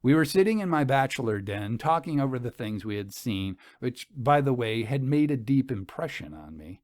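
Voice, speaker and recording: A man narrating a first-person story in a measured, formal, old-fashioned manner. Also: medium pitch, very animated, a little mellow, no room sound, clean studio sound.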